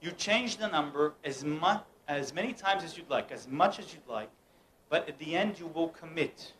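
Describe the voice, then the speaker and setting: Young guy doing a mind-reading bit, teasing the crowd, slightly high voice, bit of room hum. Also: lively intonation, moderate pace, a bit bright, poor audio. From United States. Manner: playful, teasing, directs audience. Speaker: mentalist performer. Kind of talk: live stage mind-reading act. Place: room at a home gathering.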